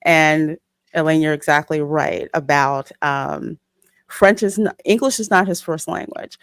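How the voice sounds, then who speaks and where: A woman with a low voice talks in a casual, explanatory way as a podcast host. The sound is close and dry, with very little room around it. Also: steady tone, measured pace, clean tone, no echo. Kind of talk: casual discussion.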